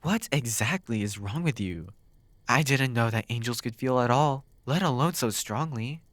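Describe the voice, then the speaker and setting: A man's voice: mid-range voice, lively intonation, a bit bright, no echo, close to an everyday voice. Man acting out a teasing demon, close and dry. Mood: shaken, questioning. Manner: teasing, sardonic, drawn-out words with dramatic pauses. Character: flirty, teasing male demon captor, sardonic and curious. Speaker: ASMR roleplay voice actor. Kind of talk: ASMR roleplay, intimate one-sided dialogue.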